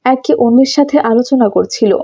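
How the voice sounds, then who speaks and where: Give me a female storyteller for a cartoon, high-pitched with a soft, warm tone. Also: fairly quick, breathy edge. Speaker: cartoon narrator. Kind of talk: scripted story narration.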